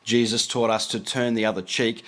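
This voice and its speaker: A male preacher gives explanatory commentary on a text he reads out. His voice sits at an average pitch, and he sounds earnest and instructive. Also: fairly even intonation, moderate pace, a bit bright.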